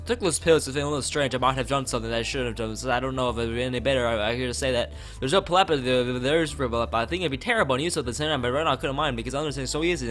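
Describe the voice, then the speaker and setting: A man's voice: mid-range voice, a bit bright, close to an everyday voice. Guy reading a weird shy schoolgirl from a visual novel, muddled run-on rambling, music behind.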